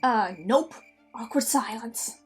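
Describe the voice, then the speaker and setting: A woman's voice: fairly high pitch, takes it slowly. A woman hosting a reaction video, giving casual, chatty commentary with playful asides. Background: audio drama being played with music.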